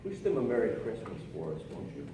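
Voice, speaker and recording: An actor playing a genial announcer and interviewer on a 1940s radio show. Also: male voice, fairly low pitch, sing-song, fast talker, mellow, distant mic, slight background noise. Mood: warm, sympathetic. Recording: muffled, very echoey hall, music behind. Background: music.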